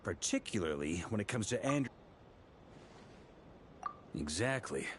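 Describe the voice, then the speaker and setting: Seasoned game knight leader, lowish voice, confident, measured explanations, expressive intonation, light ambient noise. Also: male voice. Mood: calm, resolute. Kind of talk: video game voice acting.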